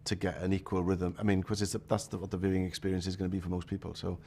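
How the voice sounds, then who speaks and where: Deep gravelly fast-talking man. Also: level tone, a little mellow. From Wales. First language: Welsh. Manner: reflective, thinks aloud with fillers. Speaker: filmmaker. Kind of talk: documentary interview.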